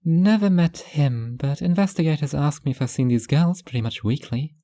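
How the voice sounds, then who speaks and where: Actress as teasing, blunt wife in a dystopian audio story. Dark, low tone, close dry sound. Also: female voice, expressive, normal speed, no echo. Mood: blunt, fond. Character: blunt, morbidly fond workaholic wife in dystopian city.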